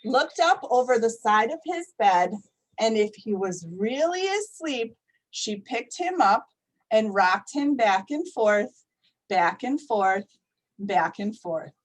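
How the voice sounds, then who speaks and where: A woman reading a children's story aloud in a gentle, repetitive storybook cadence. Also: medium pitch, poor audio.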